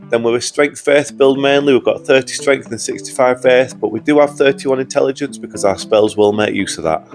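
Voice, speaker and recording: A young man with a cold-roughened voice, giving upbeat, chatty commentary over gameplay footage as a video host. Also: medium pitch, darkish tone. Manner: upbeat, chatty, says "guys" often. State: hoarse from a cold. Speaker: gaming YouTuber.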